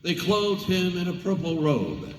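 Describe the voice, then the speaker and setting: A man giving a dramatic, solemn Bible reading with deliberate theatrical phrasing in a large church hall. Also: high voice, very animated. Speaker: scripture reader. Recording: echoey hall, distant microphone.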